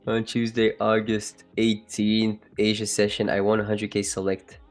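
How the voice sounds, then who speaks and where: A man's voice: on the low side, completely flat delivery, a little slow, faint music behind. A man with a French accent hosting a live trading stream, chatting casually and joking with viewers. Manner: joking, laughs often, trails off and restarts. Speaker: livestream trading host.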